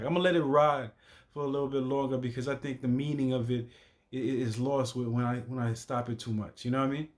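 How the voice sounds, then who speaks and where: A man giving casual, slangy reaction commentary, repeating himself with stammering restarts and frequent swearing. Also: mid-range voice. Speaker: reaction video host.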